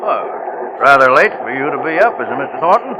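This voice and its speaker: An actor playing an obliging, polite, slightly hesitant train conductor in 1940s radio drama style. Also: male voice, mid-range voice, very animated, fast, highs cut off, lightly acted. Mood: courteous. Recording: very noisy old 1940s radio broadcast.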